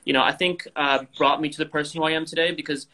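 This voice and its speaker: Bright-voiced young man, frank and rambling. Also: a little high, fairly even intonation, quick pace, poor audio. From Indonesia. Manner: frank, rambling, many fillers and restarts. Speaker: guest athlete. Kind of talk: casual interview over video call.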